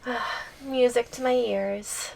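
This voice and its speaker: Possessive yandere giantess for ASMR roleplay, female performer: higher register, very slow delivery, playful, sweetly threatening. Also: fairly even intonation, vocal fry, slight background noise, heavily acted, low-quality recording. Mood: pleased, coaxing. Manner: slow, playful teasing with frequent giggles. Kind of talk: ASMR roleplay audio drama.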